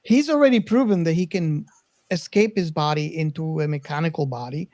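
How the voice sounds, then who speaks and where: A man co-hosting a casual film discussion, reasoning aloud in a deliberate way. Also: a little high, darkish tone. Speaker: podcast co-host.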